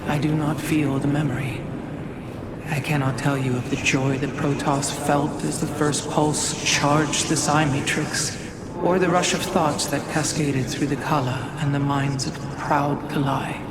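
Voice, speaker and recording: A woman voicing a solemn, learned alien keeper of history in a game cutscene, measured, formal and contemplative. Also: low voice, level tone, very noisy. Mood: wistful, uncertain. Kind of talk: dramatic scripted game cutscene acting.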